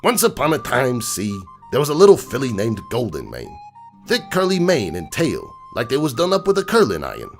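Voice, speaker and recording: A man playing a gruff, streetwise crook horse uncle who tells bedtime stories in a slangy, cynical, digressing way. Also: medium pitch, huge pitch swings, a little fast. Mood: wry, instructive. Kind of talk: dramatic reading of a comic bedtime story. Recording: music bed behind the voice.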